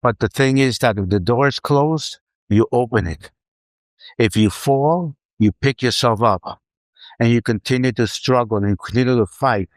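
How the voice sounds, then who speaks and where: A man's voice: medium pitch, moderate pace, dry recording. A middle-aged male professor on a panel, speaking with determination and repeating phrases for emphasis. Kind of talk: round table remarks.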